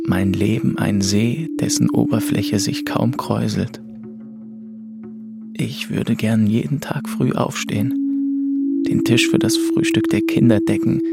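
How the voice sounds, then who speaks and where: A man's voice: fairly low pitch, gravelly. A man acting a melancholy, drifting twenty-two-year-old who narrates his travels introspectively in a literary radio drama. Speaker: narrator and lead actor. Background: music under the voice.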